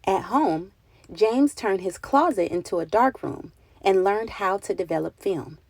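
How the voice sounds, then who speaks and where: Creaky-voiced woman reading a children's storybook. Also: medium pitch, expressive, measured pace, dry recording. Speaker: children's read-aloud narrator. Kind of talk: expressive storybook narration for children.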